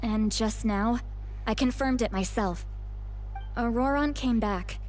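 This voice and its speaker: A woman's voice: on the high side, takes it slowly, a little mellow. A woman voicing an earnest, dutiful and friendly young tribeswoman in a video game. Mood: serious. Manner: straightforward, sincere. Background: game music.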